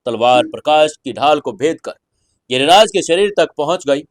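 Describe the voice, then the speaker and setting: Indian guy narrating a dramatic fantasy story, bit high voice, dry studio sound, theatrical. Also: animated, moderate pace, no echo.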